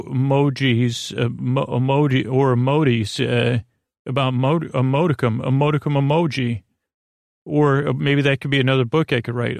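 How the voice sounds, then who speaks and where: A man hosting a bedtime podcast in a creaky, lulling voice, rambling and stammering through meandering tangents and false starts. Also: medium pitch, dry recording, clean studio sound. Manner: soothing, lulling. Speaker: podcast host.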